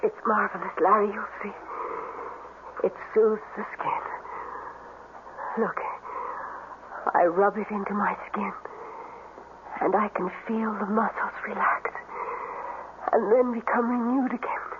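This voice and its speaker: An actress playing a strange, feverish swamp girl in an old-time radio drama, breathy, languid and melodramatic. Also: medium pitch, fairly even intonation, slow pace, pauses often, natural voice. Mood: soothing, sensuous. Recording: muffled, noisy old radio recording.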